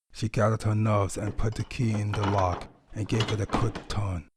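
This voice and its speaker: A man reading a sad story as scripted narration in a solemn, measured, deliberate way. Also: lowish voice, a little mellow, husky. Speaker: audio story reader.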